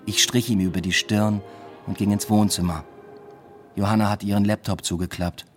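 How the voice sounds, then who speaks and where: A man's voice: fairly low pitch, steady tone, normal speed, soft background music, close to an everyday voice. An actor playing a weary, introspective male academic, narrating a radio drama in a reflective, understated way. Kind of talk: radio drama first-person narration and dialogue.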